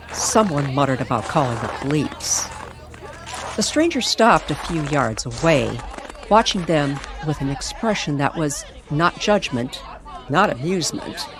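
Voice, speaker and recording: A woman reading scripted dramatic story narration in a measured, deliberate way. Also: low voice, background noise. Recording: music bed under the voice. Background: music.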